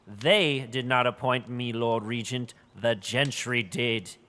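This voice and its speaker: Sharp, haughty lord regent. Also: male voice, mid-range voice, takes it slowly. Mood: angry, defiant. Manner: contemptuous, imperious, clipped. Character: haughty, irritable male lord regent of fantasy realm. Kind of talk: fantasy audio drama acting.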